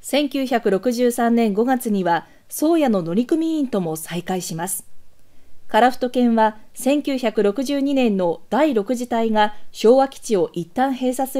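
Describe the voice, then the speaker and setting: Female archive-news narrator, higher-pitched with a mellow, soft-edged tone; formal, measured scripted read.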